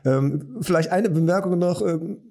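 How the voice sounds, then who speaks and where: An older man co-hosts a podcast in a husky, mellow voice. He talks thoughtfully and haltingly, repeating words as he restarts. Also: high-pitched. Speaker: podcast co-host. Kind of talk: podcast interview hosting.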